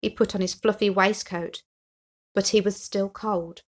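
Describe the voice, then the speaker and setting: A woman narrates a children's storybook. Her voice sits at a medium pitch, and she reads expressively, telling the tale for young listeners. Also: fairly even intonation, measured pace. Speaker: story reader.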